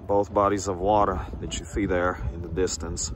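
A man casually narrating a walking tour of a small town, unhurried and full of filler pauses, recorded outdoors on a street. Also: sits low, little pitch movement, background noise. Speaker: travel vlogger.

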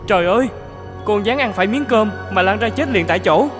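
An actor playing a good-hearted young man in an animated fairy tale, speaking earnestly and expressively over music. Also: high-pitched, brisk, close to an everyday voice. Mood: bewildered. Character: good-hearted poor young man who becomes king. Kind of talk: cartoon fairy-tale voice acting.

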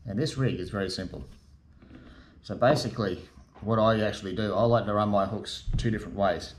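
A man's voice: lowish voice, brisk, a little hiss or hum. A middle-aged man with an Australian accent, chatting casually as he shows how to bait a fishing rig, recorded by a camera at his table in a garage workshop. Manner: relaxed, chatty, with fillers and matey asides. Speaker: fishing channel host. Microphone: camera in front at desk distance.

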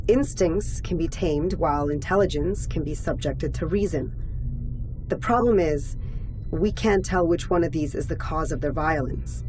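A woman's voice: on the low side, noisy background, lightly acted. A woman playing a commanding, blunt mission leader on a space station, with terse authority, in game audio logs. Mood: clinical, dry. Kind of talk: scripted sci-fi game audio log acting.

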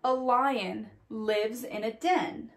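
A woman's voice: fairly high pitch, extremely slow. A woman around her thirties, a teacher reading a picture book aloud to children and talking to them directly with little questions. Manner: addresses young listeners directly with questions and tag "right?". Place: small indoor room at home or classroom corner.

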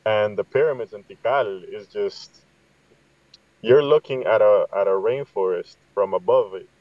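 A man's voice: on the low side, unhurried. A middle-aged man from Guatemala telling reflective, conversational stories as a podcast guest over a video call. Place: inside a parked vehicle. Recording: slightly dull, roomy sound.

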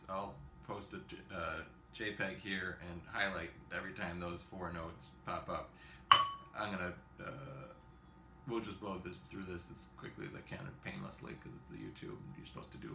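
A man's voice: fairly low pitch, gravelly. A man in his thirties giving a casual xylophone lesson in his home kitchen, rambling and self-deprecating, with lots of fillers and small laughs. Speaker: xylophone teacher. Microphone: camera in front at a short distance. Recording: echoey kitchen with xylophone playing.

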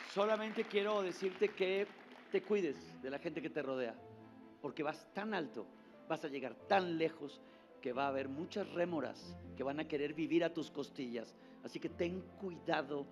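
Middle-aged male presenter, notably high voice, dramatic live show delivery. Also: normal speed. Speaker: host of a TV singing contest. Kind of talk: live stage hosting. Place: TV studio stage. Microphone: handheld microphone. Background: music.

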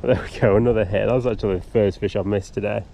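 A man's voice: lowish voice, fairly even intonation, fast talker, gravelly, warm tone. A young man chatting upbeat to camera while fishing on a windy riverbank, picked up by an action camera. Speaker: fishing vlogger. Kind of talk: casual outdoor commentary. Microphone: action camera on body or tripod.

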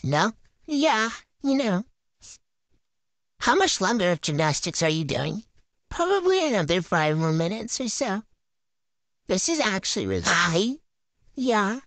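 A giggly woman bantering through a silly game video, her voice bouncing up and down in pitch as she brags in teen slang. She sounds close to the mic in a dead, dry space. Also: sing-song, unhurried, long pauses, no echo. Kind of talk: playful improvised banter voicing game characters.

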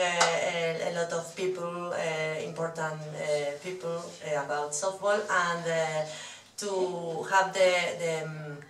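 A woman's voice: lowish voice, slight background noise. A woman in her thirties, hesitantly searching for English words. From Catalonia, Spain. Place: indoors by a brick wall. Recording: echoey room from a distance.

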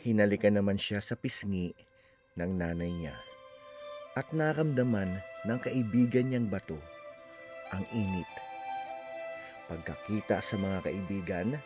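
Lively storytelling man, low voice. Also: a little slow, pauses often, music in the background. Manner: expressive, animated narration. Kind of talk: children's story read-aloud.

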